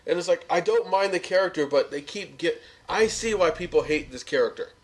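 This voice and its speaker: A man with an American accent and a fairly high voice talks through a review as a podcast co-host. His delivery is conversational and opinionated, with a sardonic edge. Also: animated, brisk, poor audio. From United States. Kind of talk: casual review chat.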